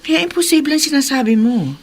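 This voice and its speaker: An actress playing a calm, middle-aged aunt in a Tagalog radio drama, measured and reassuring, with a Filipino accent. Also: female voice, high voice. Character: calm, reasonable aunt who doubts suspicions.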